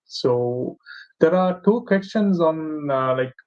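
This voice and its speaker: A man hosting an online session, walking viewers through steps with lots of fillers and pauses. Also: a little high, animated, darkish tone, dull audio. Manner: hesitant, many fillers. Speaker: host. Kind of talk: webinar moderation.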